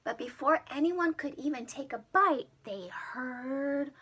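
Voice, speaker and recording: A woman reading a storybook to young children as a preschool storyteller, dramatic and expressive. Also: high voice, takes it slowly, a little hiss or hum. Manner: dramatic, with whispered asides to young listeners.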